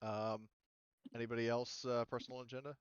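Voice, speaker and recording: A man's voice: medium pitch, flat delivery, fairly quick, clean tone, no room sound. A man running a tabletop roleplaying game as game master, chatting casually. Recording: slightly dull, dry close microphone.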